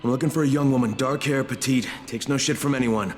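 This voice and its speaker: A man's voice: mid-range voice, fast talker, natural voice. Man acting as tough earnest agent guy from a video game, mid voice, bit of music behind. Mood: businesslike. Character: tough, earnest undercover agent searching for a missing woman. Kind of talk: video game cutscene dialogue.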